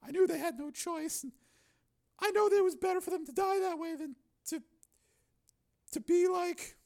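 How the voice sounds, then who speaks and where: A man voicing a grieving young woman who speaks in a high, strained, tearful way. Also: moderate pace, vocal fry, darkish tone. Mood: grief-stricken.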